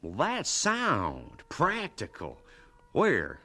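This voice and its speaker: An actor playing a practical, kindly rural settler who talks in a plainspoken, encouraging way in a radio drama. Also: male voice, medium pitch, wildly swooping pitch, extremely slow, bright, forceful tone, soft background music, heavily acted. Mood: earnest, supportive.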